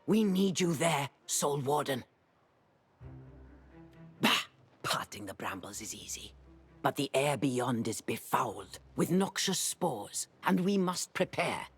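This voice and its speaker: Grumbling erudite alchemist for a game: husky, very animated, exclamatory. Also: mid-range voice, slow, faint music behind, heavily acted. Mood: urgent. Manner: theatrical, exclamatory, exasperated. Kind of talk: video game cutscene dialogue.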